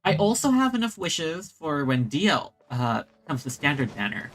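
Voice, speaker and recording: A streamer giving casual, chatty, expressive commentary while playing a video game. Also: mid-range voice, normal speed, a little hiss or hum, poor audio. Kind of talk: casual let's-play commentary. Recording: soft game music behind.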